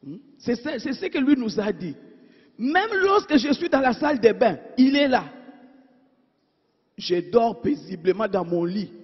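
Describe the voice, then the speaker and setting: Woman preacher, husky and high, rhetorical questions, loud then hushed; muffled church hall echo. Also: sing-song, a little slow. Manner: asks the congregation rhetorical questions; swings between emphatic and hushed. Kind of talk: sermon. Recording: dull sound in a reverberant church hall.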